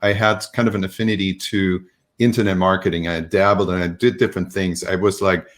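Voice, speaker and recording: A man from Germany, a guest on a remote interview, telling his story in a relaxed, rambling way with many fillers. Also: deep voice, brisk. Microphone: video call.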